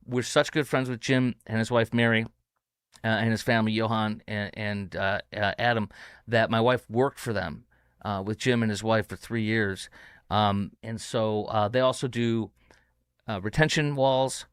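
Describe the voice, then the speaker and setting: A man hosts a talk show from his house, talking in a loose, rambling way full of fillers and restarts that turns excitable. His voice is smooth and resonant, and the sound is close and dry, with no room around it. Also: fairly low pitch, moderate pace, no room sound. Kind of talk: casual talk show chat. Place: home studio.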